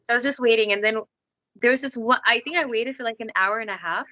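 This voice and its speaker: High bright woman from canada, laughs a lot while telling a story, dry slightly muffled audio. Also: quick pace, no echo. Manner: animated storytelling, laughs often. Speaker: podcast guest, singer. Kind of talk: casual anecdote in an interview.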